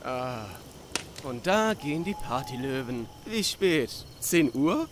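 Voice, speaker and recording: A man's voice: medium pitch, very animated, slow pace, background noise. A man playing a cheeky, party-loving young drifter in a German game fan dub, casual and teasing. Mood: mellow teasing.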